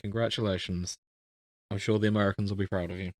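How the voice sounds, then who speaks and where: A man's voice: lowish voice, little pitch movement, fast, no echo. A man with an English accent chats casually as a podcast co-host in a wry, teasing way. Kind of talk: casual chat.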